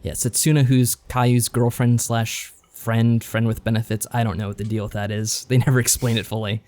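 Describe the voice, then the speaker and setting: A man with a voice of middling pitch talks casually as a podcast co-host. He recaps a story in a loose, rambling way, with wry asides along the way. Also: flat delivery, normal speed, a little mellow. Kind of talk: casual chat recapping a visual novel.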